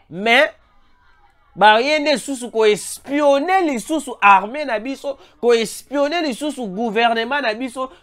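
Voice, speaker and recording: An online news commentator with a Congolese French accent and a head cold, animatedly addressing viewers in a voice-over. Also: medium pitch. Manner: animated, repeatedly calls out to viewers, mixes in Lingala. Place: voice-over over a still image.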